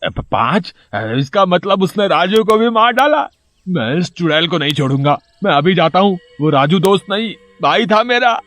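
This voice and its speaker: A man's voice: high-pitched, sing-song, moderate pace, fairly bright tone. A man voicing an agitated, timid but loyal villager with a colloquial manner in a cartoon story. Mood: angry. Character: excitable, timid male villager, loyal to his friends.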